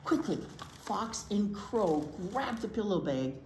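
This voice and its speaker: A woman reads a children's story aloud with big, lively swings in pitch and an unhurried pace. She is expressive and chatty, putting questions to young listeners. The room is echoey. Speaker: children's library teacher reading aloud. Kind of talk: animated picture-book read-aloud for young children. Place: indoor room at a library. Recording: roomy echo, noticeable background noise.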